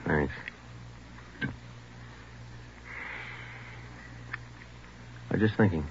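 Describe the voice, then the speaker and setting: An actor playing a terse, world-weary American police detective, clipped and matter-of-fact in 1940s radio crime drama style. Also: male voice, sits low, rapid-fire, a little hiss or hum. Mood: dry, relaxed. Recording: muffled 1940s radio recording.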